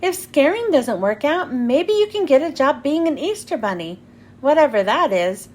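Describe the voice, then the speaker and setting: A chatty, teasing monster sidekick voiced by a woman storyteller with a wisecracking way of talking. The pitch is high and very lively. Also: normal speed.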